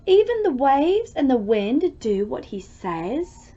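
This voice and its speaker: Woman playing a frantic storybook sailor, very high, shrill, panicking, crying out from a sinking boat. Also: big pitch swings, slow, dark tone, dull audio, a little hiss or hum, heavily acted. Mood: terrified awe. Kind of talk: children's storybook read-aloud.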